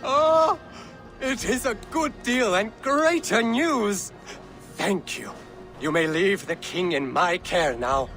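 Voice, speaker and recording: A man's voice: sits high, slow pace, a bit bright, soft background music. An actor playing a deluded, proud old man in a video game, rambling and grandiose. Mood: relieved, devoted. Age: older. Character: deluded old Saxon man, proud, fretful, wandering-witted. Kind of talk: video game cutscene acting.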